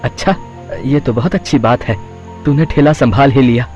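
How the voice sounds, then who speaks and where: A man playing a gentle, approving husband in a cartoon story. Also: mid-range voice, moderate pace, faint music behind, pitched below the performer's own voice, close to an everyday voice. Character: approving husband of a food-cart woman. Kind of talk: Hindi moral-story cartoon voice acting.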